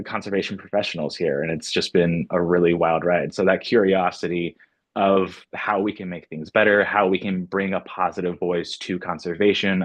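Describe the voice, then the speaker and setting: A man's voice: low voice, dry recording, lo-fi sound. A man from Indiana, speaking as a panelist and giving advice in a reflective, easygoing way.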